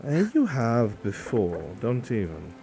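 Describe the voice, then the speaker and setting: A man playing a cynical, blunt imperial official in improvised fantasy roleplay, speaking in a dry, measured way with a gravelly voice and frequent throat-clearing. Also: medium pitch, wildly swooping pitch, mellow, soft background music, full character voice.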